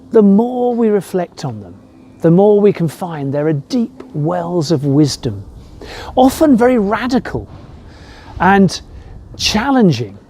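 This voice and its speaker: A man giving a reflective sermon as a rector, speaking in a measured way with rhetorical questions. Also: fairly high pitch, warm tone. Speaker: rector preaching a Christmas message.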